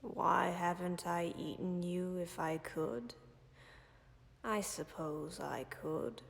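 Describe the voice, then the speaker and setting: A calm, caring female dragon, voiced by a woman, speaks in a deeply gravelly, fry-laden voice. She talks very slowly and softly, often dropping close to a whisper. Her words trail off into a long, lingering reverb. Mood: calm, tender. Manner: soft, measured, often near-whispered. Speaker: voice actor in audio roleplay. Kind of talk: audio roleplay for a listener.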